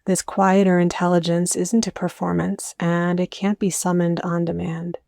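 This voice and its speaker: A woman with a dark, relaxed voice that stays very even in pitch. She narrates a guided meditation in gentle, soothing invitations, recorded close and dry. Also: creaky, dry recording. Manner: soothing, unhurried phrasing with soft invitations.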